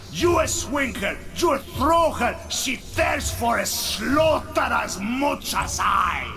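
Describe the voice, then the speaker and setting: An actor playing a boastful, bloodthirsty undead warrior in a fantasy game, declaiming and shouting indignantly. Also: male voice, very high voice, creaky, heavily acted. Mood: bloodthirsty pride. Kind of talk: fantasy game character acting. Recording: music behind the voice.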